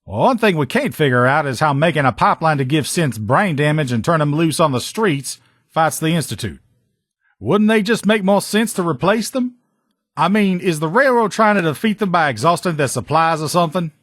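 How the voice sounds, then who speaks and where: A man's voice: a little high, expressive, normal speed, fairly bright tone, no room sound, studio quality. A man playing a brash sheriff's lieutenant and proud armor mechanic in a video game, blunt, sardonic and salty-tongued. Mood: sardonic, exasperated. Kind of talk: video game character dialogue.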